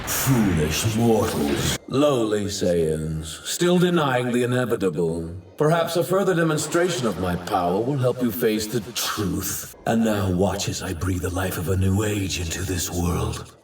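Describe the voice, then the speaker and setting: An actor playing an arrogant, self-righteous villain god in an anime dub, declaiming in a grandiose, archaic and theatrical manner. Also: male voice, fairly low pitch, lively intonation, normal speed, faint music behind. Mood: grandiose, menacing. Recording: roomy, distant, faint effects noise.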